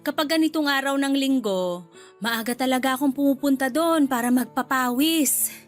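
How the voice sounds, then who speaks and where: A woman's voice: high voice, normal speed, close to an everyday voice. A woman with a Filipino accent playing a chatty, active middle-aged mother-in-law in a Tagalog radio drama. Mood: breezy, friendly. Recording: music bed behind the dialogue.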